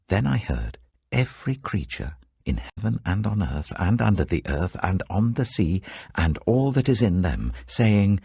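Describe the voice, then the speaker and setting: Older guy reading the bible real slow, super deep husky voice, dry close studio sound. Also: a little mellow, no echo. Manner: measured, solemn delivery that swells for quoted proclamations. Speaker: Bible reader. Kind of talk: scripted audiobook reading of scripture.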